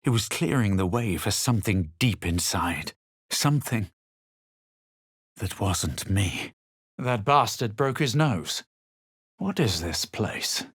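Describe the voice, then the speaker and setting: An actor playing a smug, self-assured male adventurer in a fantasy video game, measured and dryly dramatic. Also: lowish voice, animated, slightly rough, no echo, clean studio sound, exaggerated voice. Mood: grave, brooding. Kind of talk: video game character lines and first-person narration.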